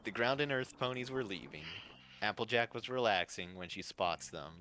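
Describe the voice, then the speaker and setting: A man's voice: lowish voice, expressive, moderate pace, sharp tone, slight background noise, rough recording. A man narrating a fan-fiction story as its host. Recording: faint music behind. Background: faint music.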